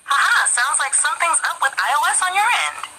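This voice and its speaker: A woman's voice: high-pitched, animated, fast, noisy background. A woman's synthetic assistant voice, upbeat and curious, chatting and asking lots of questions. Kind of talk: friendly chatbot conversation.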